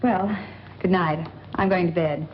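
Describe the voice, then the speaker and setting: A woman's voice: fairly low pitch, steady tone, unhurried, natural voice. Actress as cool, dry-witted noir woman. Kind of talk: 1940s film noir acting. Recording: muffled old film soundtrack with hiss.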